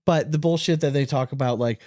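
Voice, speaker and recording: A man's voice: medium pitch, brisk, darkish tone, dry recording. Guy hosting a podcast, chatty and informal, mid voice, real dry close sound. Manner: informal, lightly profane. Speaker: podcast co-host. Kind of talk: casual podcast chat.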